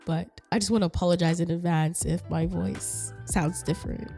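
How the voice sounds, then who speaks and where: A middle-aged woman hosts a casual podcast chat, her voice hoarse and raspy from a cold with a stuffy nose. Her tone is warm and mellow, and she rambles along, laughing often. Soft music plays faintly in the background. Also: on the low side, fairly even intonation, normal speed. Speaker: podcast host.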